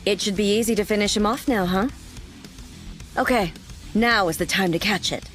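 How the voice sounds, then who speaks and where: Game role, actress as cool young woman soldier: mid-pitched, brisk, teasing, faint music behind. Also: natural voice. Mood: confident. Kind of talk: anime-style video game voice acting.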